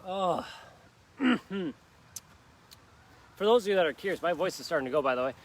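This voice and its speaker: A man's voice: fairly high pitch, expressive, moderate pace. A man leading a live workout in a park, coaching upbeat and encouraging while doing the moves himself and joking about his own slip-ups. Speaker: fitness instructor. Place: outdoor park.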